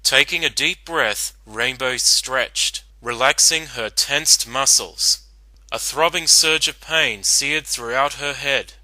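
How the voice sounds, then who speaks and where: A man reads a story aloud as narrator in a dramatic style. His voice sits at a medium pitch with a very bright, forceful edge, recorded dry and close. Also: slow, no echo, low-quality recording.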